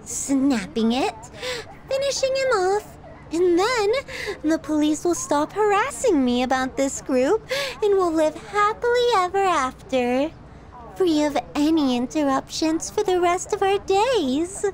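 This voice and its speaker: A woman's voice: very high-pitched, very animated, heavily acted, rough recording. A woman playing a possessive, sadistic yandere girlfriend in an ASMR roleplay, cooing and teasing with sweet menace. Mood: calculating, menacing. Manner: cooing, drawn-out, teasing, clingy delivery.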